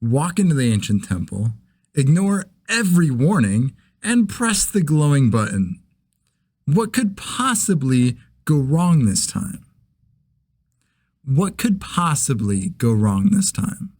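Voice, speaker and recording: A man's voice: on the high side, very animated, takes it slowly, a little mellow, pitched above the performer's own voice, heavily acted. A man voicing a sarcastic adventurer in a scripted character read, with a sardonic delivery. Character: sarcastic adventurer mocking a reckless temple plan.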